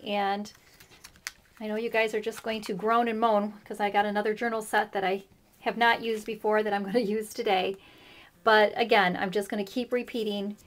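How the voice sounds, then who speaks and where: A woman hosting a casual craft tutorial, explaining her steps in a chatty way with fillers, her voice a little rough this morning. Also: mid-range voice. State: slightly rough voice. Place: craft table indoors.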